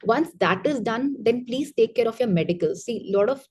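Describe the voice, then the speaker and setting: A middle-aged woman with an Indian accent, a retired officer talking candidly about her career and giving advice in a relaxed interview. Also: fairly high pitch, moderate pace. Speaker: retired coast guard officer, interview guest. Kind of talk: conversational interview, mixing English and Hindi.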